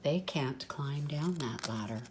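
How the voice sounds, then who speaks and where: Slow-reading older woman, grandmotherly storyteller. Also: rumbling low, a little mellow, slight background noise. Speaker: grandmother reading a picture book aloud. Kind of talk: storybook read-aloud with soft asides.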